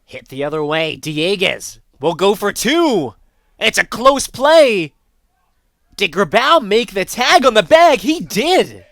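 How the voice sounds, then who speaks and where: A man with a fairly high-set voice works as a baseball play-by-play commentator. He describes the game in a live broadcast style. The sound is very dry, with no room around the voice. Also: very animated, slow pace, creaky, a bit bright, no room sound.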